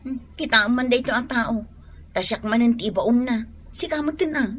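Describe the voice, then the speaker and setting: A woman's voice: fairly high pitch, moderate pace, a bit bright, husky. An actress playing a cheeky village wife who chats and makes excuses to her husband and neighbors. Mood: chatty.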